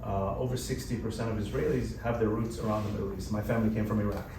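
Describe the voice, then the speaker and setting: A man's voice: on the low side, quick pace. Easygoing man on a roomy panel stage. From United States. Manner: relaxed, conversational, frequent "you know" fillers. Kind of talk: panel discussion. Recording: roomy hall, distant mic, background noise.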